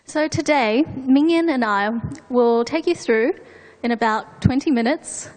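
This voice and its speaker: A woman's voice: high-pitched, a little slow, a little mellow. A woman from New Zealand with a New Zealand and Australian English accent, giving a formal, rehearsed conference presentation with a co-presenter. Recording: long hall reverb.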